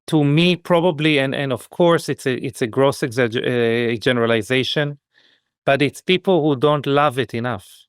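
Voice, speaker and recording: Halting, thoughtful male podcast guest. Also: mid-range voice, clear, resonant tone, no echo, studio quality. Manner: thoughtful, halting, many restarts while searching for words. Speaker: podcast guest, skincare business expert. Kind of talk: conversational interview.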